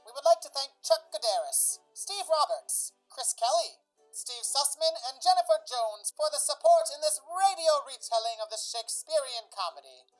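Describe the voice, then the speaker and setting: A showy old-time radio announcer narrating a play, voiced by a woman. The voice is fairly high and very lively in pitch, theatrical and presentational, over faint music. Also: normal speed, low-quality recording. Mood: grand, presentational.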